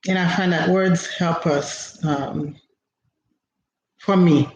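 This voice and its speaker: Slow, reflective Haitian-born woman. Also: on the low side, steady tone, darkish tone. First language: Haitian Creole. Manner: slow, reflective, with frequent pauses and ums. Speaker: host. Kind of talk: inspirational talk. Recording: roomy, dull lo-fi recording with faint noise.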